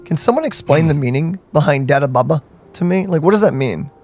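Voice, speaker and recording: Animated, excitable game streamer. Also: mid-range voice, a little fast. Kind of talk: casual reaction commentary on a game playthrough. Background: game music.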